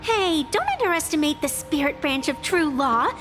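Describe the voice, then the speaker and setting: A woman's voice: high voice, big pitch swings, normal speed, over music. A woman playing a proud, playful young female arbiter in a video game, with a theatrical, boastful, lightly indignant manner.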